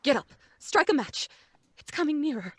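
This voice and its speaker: A woman's voice: high voice, sing-song, normal speed, slight background noise. An actress playing a commanding, easily alarmed wife and mother in dramatic old-time radio style. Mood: tense. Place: small dark home booth. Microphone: close studio microphone.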